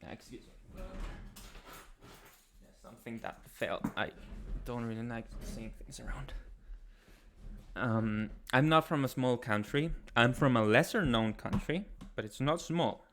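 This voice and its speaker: A young man from Chile with a Chilean Spanish accent, hosting a casual livestream and answering chat in a soft, hesitant way with many pauses. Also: medium pitch, noisy background. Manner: soft-spoken, hesitant, many ums and restarts. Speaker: ASMR channel host. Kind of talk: casual livestream chat, reading viewer comments.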